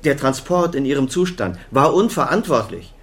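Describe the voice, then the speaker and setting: Radio drama role: man playing older head doctor, mid-pitched, stern, curt, reproachful authority. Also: animated, normal speed, lightly acted. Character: stern older male chief physician, cold, authoritative father. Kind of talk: German radio crime drama acting.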